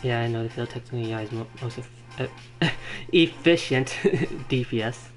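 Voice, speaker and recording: A man giving casual live-stream game commentary, rambling and halting as he thinks aloud. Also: medium pitch. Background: game music.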